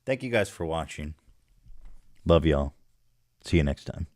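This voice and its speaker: Deep-voiced man telling a comic story. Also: expressive, unhurried, no echo, clean studio sound. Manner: dramatic, with sudden hushed whispers. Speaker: podcast host.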